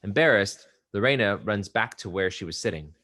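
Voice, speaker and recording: Very deep-voiced male stage-direction narrator. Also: animated, moderate pace, sharp tone. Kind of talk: scripted narration.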